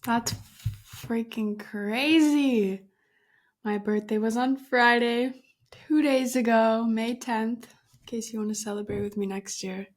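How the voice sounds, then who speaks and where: Slow-talking bubbly young woman host. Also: a little high, steady tone. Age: 20s. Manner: bubbly, giggly, emphatic, casual with mild swearing. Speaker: podcast host. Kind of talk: casual solo podcast talk.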